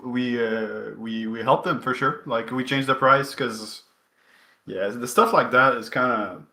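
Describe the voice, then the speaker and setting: A man from Canada talks slowly with a bright, clear tone. He is an indie game developer who answers haltingly and laughs easily between his phrases. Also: medium pitch, fairly even intonation, roomy sound. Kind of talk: casual interview answers.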